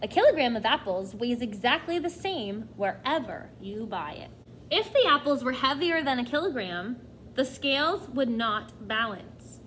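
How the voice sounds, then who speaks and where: A woman's voice: on the high side, sing-song, moderate pace, a bit bright, slight background noise. A woman teacher reading a nonfiction book aloud to children, expressively, often addressing them directly with questions and asides.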